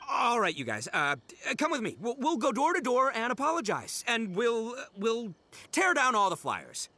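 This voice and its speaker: A man's voice: very high voice, huge pitch swings, normal speed, pushed and bright, heavily acted. A man voicing a brash, melodramatic young gang boss in a video game, bombastic and slangy in manner. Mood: dejected, resolute. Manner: bombastic, slangy, exaggerated swings. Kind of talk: animated video game voice acting.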